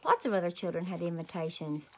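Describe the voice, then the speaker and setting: A woman reading a storybook aloud as a children's host, expressive and talking to young listeners. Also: lowish voice, fast. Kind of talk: animated storybook read-aloud.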